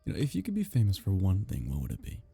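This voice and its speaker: Male actor as awkward kitsune date, very soft dark tone, gravelly fry, stammering, nervous, roleplay audio. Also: low voice, huge pitch swings, soft background music, heavily acted. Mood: shy, curious. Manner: halting, stammering, nervously apologetic. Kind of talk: roleplay audio acting, ASMR.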